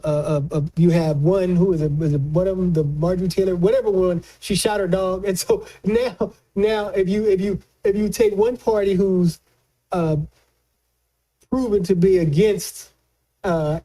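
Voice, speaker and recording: Man with a very mellow, higher-pitched voice. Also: rough recording. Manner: emphatic, repeats phrases for stress. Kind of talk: casual political talk.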